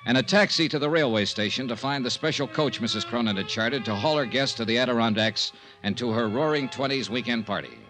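An actor playing a brisk, skeptical American insurance investigator in an old-time radio mystery, with punchy, clipped, dramatic phrasing. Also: male voice, medium pitch, bright, forceful tone, lightly acted. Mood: brisk, matter-of-fact. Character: brisk, skeptical male insurance investigator, hard-boiled and wry. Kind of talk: old-time radio detective acting and narration. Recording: old radio recording, music bed.

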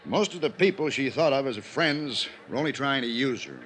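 A man playing an older business manager in a vintage radio mystery. His middle-pitched voice is earnest and pleading, protective yet evasive. The old recording carries faint noise. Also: lively intonation, normal speed, a bit bright, lightly acted. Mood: earnest, troubled. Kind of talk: old-time radio drama acting.

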